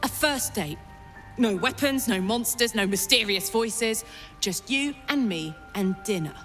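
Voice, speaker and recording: An actress voicing an eager, playful young adventurer on a date in a video game. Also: female voice, a little high, expressive, unhurried, a bit bright, faint music behind. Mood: eager, hopeful. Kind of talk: dramatic video game acting.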